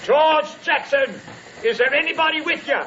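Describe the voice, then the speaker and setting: Commanding old Southern patriarch, hissy radio. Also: male voice, extremely high pitch, normal speed, sharp tone, dull audio. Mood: stern, suspicious. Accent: American Southern. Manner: commanding, folksy rural speech. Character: stern, wary Southern family patriarch about sixty. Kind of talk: old-time radio drama acting.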